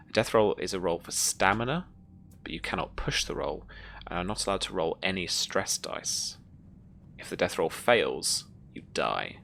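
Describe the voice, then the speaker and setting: A woman narrating a tabletop roleplaying game as game master, reading out rules and thinking aloud in a halting way. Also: extremely low pitch, gravelly, a bit bright, a little hiss or hum.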